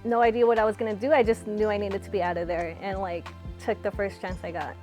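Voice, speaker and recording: A young woman from California answering interview questions in a relaxed, chatty way, with lots of fillers. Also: medium pitch, quick pace, faint music behind. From California, USA. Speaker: interviewee, fighter.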